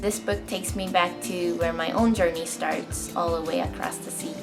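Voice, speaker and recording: Soft-spoken young woman. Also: lowish voice, steady tone, normal speed, gravelly. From Japan. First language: Japanese. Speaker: documentary narrator. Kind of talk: scripted personal narration. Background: background music.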